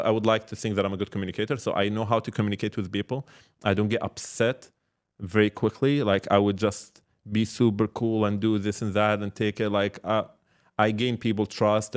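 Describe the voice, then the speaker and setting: Male podcast guest, startup mentor type, lowish voice, casual and reflective, restarts phrases as he explains. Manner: halting, repeats words, explains with examples and check-questions. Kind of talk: casual reflective interview talk.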